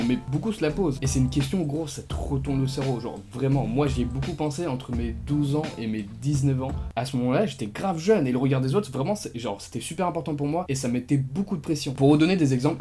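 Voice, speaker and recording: A young man speaking slangy in a raised voice, animated and self-mocking as he tells a personal story straight to camera. A soft music bed runs under his voice. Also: on the high side. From France. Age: young adult. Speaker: YouTuber. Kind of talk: casual storytelling vlog.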